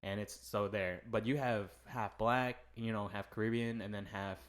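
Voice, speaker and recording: A man's voice: lowish voice, fairly even intonation, normal speed. A man whose first language is Vietnamese, co-hosting a casual podcast chat, loose and full of fillers, stumbling over words now and then. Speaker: podcast co-host.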